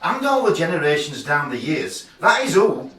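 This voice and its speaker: An actor playing an aggrieved, scornful rugby fan in a radio play, speaking in a declamatory way. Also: male voice, a little high, big pitch swings, normal speed, fairly bright tone, distant mic. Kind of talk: theatrical radio play acting. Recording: echoey, away from the mic.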